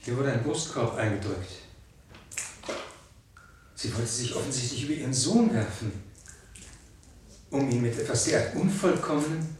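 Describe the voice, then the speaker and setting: An actor playing a pedantic, detached scientist in a 1970s radio play, with dry, measured, formal speech. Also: male voice, expressive, a little mellow, close to an everyday voice. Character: pedantic, emotionally detached male scientist, disaster survivor. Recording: 1970s radio recording with faint rumble.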